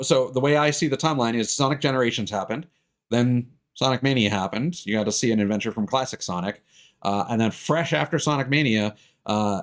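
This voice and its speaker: A man giving casual let's-play commentary as a streamer, talking in a rambling, halting way. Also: lowish voice. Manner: rambling, hesitant, halting delivery; casual swearing. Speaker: game streamer, host. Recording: game music behind the voice. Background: video game music.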